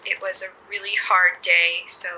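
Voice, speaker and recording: A woman playing an intern's steady future self in an audio drama, counselling in a measured, coaxing way with small hesitations. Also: mid-range voice, a little hiss or hum, rough recording. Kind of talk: naturalistic audio drama acting.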